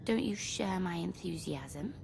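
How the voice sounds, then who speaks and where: An eager young villainess in a video game. She takes her time, drawling with unhinged relish and vocal fry over noisy ambience. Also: fairly low pitch, expressive, measured pace, darkish tone, full character voice. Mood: gleeful, eager. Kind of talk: dramatic video game acting.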